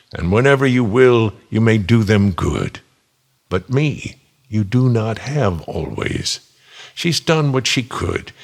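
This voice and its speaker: A man with a husky, gravelly voice giving a solemn, measured dramatic reading of scripture as a voice actor. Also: lowish voice.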